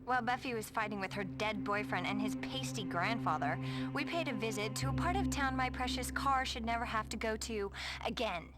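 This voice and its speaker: A woman's voice: fairly high pitch, brisk, natural voice. An actress playing a blunt, snobbish young popular woman in a video game, snide and dismissive, with an American accent. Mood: scornful. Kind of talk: video game cutscene dialogue. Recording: loud music behind the voice.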